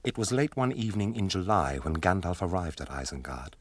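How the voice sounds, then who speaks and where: A man's voice: low voice, sing-song, brisk, a little hiss or hum. A man narrating a radio drama in a scripted storytelling style.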